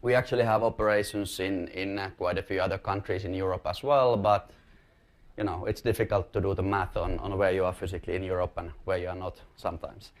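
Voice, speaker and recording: A man speaks in a fairly low voice with a Finnish accent. He presents at a conference as a company executive, explaining things informally and a little hesitantly. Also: steady tone, quick pace. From Finland. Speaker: company chief executive giving a talk.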